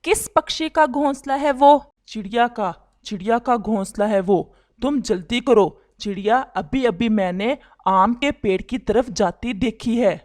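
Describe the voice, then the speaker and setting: A woman voicing a sly, excitable mother crow in a children's cartoon. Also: a little high, unhurried, hardly any pauses, natural voice. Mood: urgent. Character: sly, excitable mother crow scheming over her eggs. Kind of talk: Hindi moral-story cartoon dubbing.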